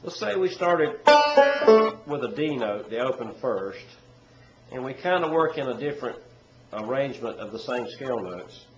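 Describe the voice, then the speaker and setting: A man's voice: medium pitch, faint music behind. Stuffy-nosed man teaching banjo. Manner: relaxed, rambling, with many "uh" pauses. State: stuffy nose from a summer cold. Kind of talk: casual instructional talk. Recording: echoey room with dull, lo-fi sound. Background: loud banjo playing.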